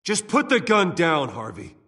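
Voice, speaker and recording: A man playing a loyal, confident rich friend in a video game, sincere and steady. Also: a little high, a little slow, full character voice. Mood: pleading. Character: calm, confident, loyal wealthy male friend. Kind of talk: dramatic video game acting. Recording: distant, echoey room, faint music.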